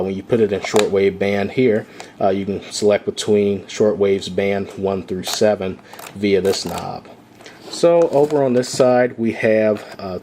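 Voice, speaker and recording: A man with a slightly low voice talks through a gadget review in an easy, unscripted way. He fills his pauses with frequent "uh"s. A faint ambient hum sits behind him. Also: a little mellow. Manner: unscripted, many "uh" fillers. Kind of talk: casual product walkthrough.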